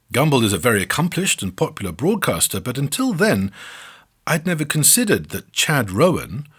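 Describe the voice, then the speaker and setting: A man's voice: lowish voice, a bit bright, breathy edge. A man narrating a scripted first-person memoir, with a wry, mock-boastful, self-deprecating manner.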